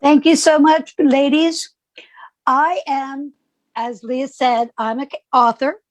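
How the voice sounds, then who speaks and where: A woman, a grief counselor speaking calmly and measuredly as an interview guest. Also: sits high, slow pace, no room sound.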